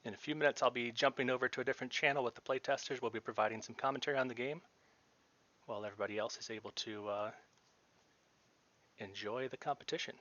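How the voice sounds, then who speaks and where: A man's voice: medium pitch, fast, pushed and bright, muffled recording. A man hosting a livestreamed board game tournament, casual, with fillers and restarts. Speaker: tournament host.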